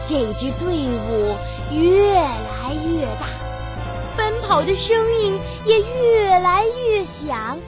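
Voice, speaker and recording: A high-pitched woman narrates a fairy tale for children with very animated intonation. She takes her time, telling it expressively, with music playing softly behind her. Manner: expressive, drawn-out storytelling with gentle sentence particles. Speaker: children's storyteller.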